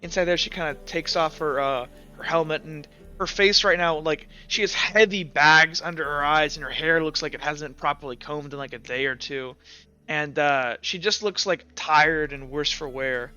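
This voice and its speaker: A man's voice: fairly high pitch, normal speed, pushed and bright, clear, resonant tone. A man narrating a tabletop roleplaying game as game master, describing scenes in a rambling, piecemeal way. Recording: dull lo-fi sound, faint music behind.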